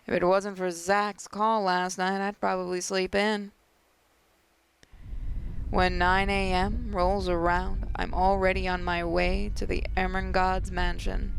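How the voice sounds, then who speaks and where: Creaky-voiced woman narrating a visual novel. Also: mid-range voice, flat delivery, slow, slight background noise. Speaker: gaming channel host reading a visual novel aloud.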